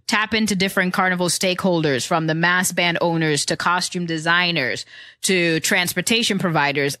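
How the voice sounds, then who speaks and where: A woman's voice: lowish voice, bright, forceful tone, dry recording, studio quality. A woman in her thirties with a hybrid Trinidadian-American accent, a guest answering podcast interview questions in composed, precise phrasing. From born in Houston, raised in Trinidad. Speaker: guest, tech founder.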